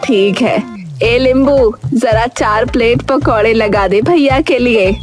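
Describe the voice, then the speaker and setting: A woman's voice: fairly high pitch, slow, faint music behind. A woman voicing a kindly, busy old village grandmother who sells snacks in a cartoon story, folksy and hospitable. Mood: welcoming. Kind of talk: Hindi cartoon story acting.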